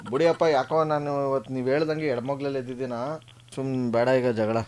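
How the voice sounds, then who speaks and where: A man's voice: medium pitch, little pitch movement, brisk, darkish tone, clean tone. A man with a southern Karnataka Kannada accent bickers in a colloquial, needling, aggrieved way, as a prank caller on the phone. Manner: colloquial, needling, feigned grievance.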